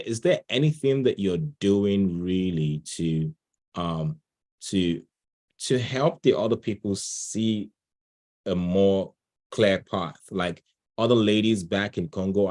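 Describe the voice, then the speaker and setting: A man with a deep voice moderates an online session. He talks in an informal, chatty way and is generous with thanks and encouragement as he hands the floor around. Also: darkish tone. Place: online video call.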